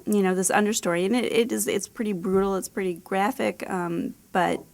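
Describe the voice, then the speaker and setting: Woman author getting interviewed, casual n explanatory, lots of vocal fry. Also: medium pitch, fairly even intonation, fairly quick. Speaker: guest author being interviewed.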